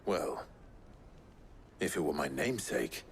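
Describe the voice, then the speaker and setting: Very deep-voiced, brooding male outlaw. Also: expressive, slow pace, creaky, a little hiss or hum, exaggerated voice. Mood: grave. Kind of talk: dramatic game cutscene acting.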